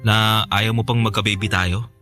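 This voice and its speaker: A man's voice: lowish voice, fairly even intonation, normal speed, bright, forceful tone. A man playing a devoted, playful young Filipino boyfriend in a radio drama, sweet and joking in a naturalistic way. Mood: let down, understanding. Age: young adult. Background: music.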